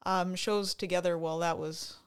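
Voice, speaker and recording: A woman's voice: fairly low pitch, fairly even intonation, normal speed, crowd noise. A woman from Canada co-hosting a podcast, chatting casually and enthusiastically, often trailing off mid-sentence. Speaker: podcast co-host. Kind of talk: casual chat.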